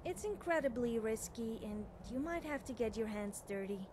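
A woman's voice: on the high side, animated, normal speed, noisy background, close to an everyday voice. A young woman playing an eager, nervous, cheerfully amoral witch student in video game dialogue, chatty and girlish, often hesitating and backtracking. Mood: hopeful, sheepish.

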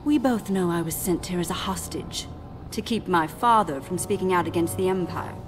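Woman as low-voiced, defiant young princess. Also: moderate pace, natural voice. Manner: curt, dignified. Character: defiant young princess senator, sharp and unafraid. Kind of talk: cinematic video game acting. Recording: loud music behind.

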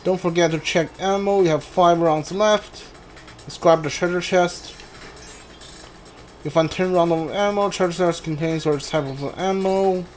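A man doing casual playthrough commentary, halting and a bit puzzled as he reads game text aloud. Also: sits high, fairly even intonation, darkish tone. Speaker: gameplay streamer. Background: game music.

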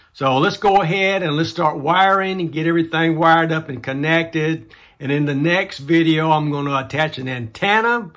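A man's voice: on the high side, fairly bright tone. A man in his sixties hosting a casual DIY vlog, explaining things to the camera. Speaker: DIY video host. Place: small indoor home workshop room.

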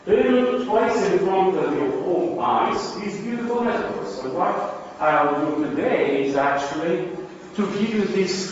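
A man's voice: fairly high pitch, a little hiss or hum. Romanian-accented man lecturing in an echoey hall. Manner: explanatory, asks and answers own questions. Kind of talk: academic lecture. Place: lecture room. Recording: distant, echoey, dull lecture hall recording.

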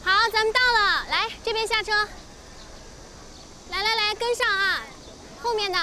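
Young female tour guide: very high, piercing bright voice, brisk and polite, addressing a group. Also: full character voice. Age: young adult. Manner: projecting, instructive, polite.

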